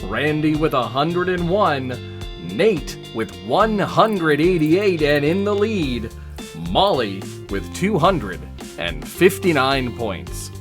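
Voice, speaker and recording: A man hosting a comedic quiz show, announcing in a drawn-out, theatrical way. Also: a little high, animated. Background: plucked guitar music.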